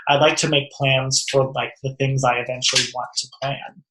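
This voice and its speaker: A young woman hosting a casual tarot reading, chatting in an animated, slangy, teasing and emphatic way. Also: rumbling low, normal speed, roomy sound, poor audio. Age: young adult. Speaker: host and tarot reader.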